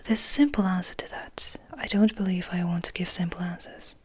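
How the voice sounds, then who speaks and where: Woman voicing a wistful male vampire, hushed breathy whisper, composed, confiding, storybook narration. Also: on the low side, fairly even intonation, no room sound. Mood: composed, reminiscing. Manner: soft, confiding, measured whisper.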